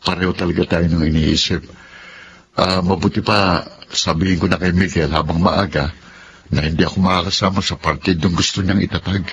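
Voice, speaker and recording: An actor playing a principled, gentle male doctor in a Filipino radio drama, speaking in a measured, earnest way. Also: low voice, fairly even intonation. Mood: calm, thoughtful. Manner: measured, earnest, polite but firm. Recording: dull lo-fi audio with noise.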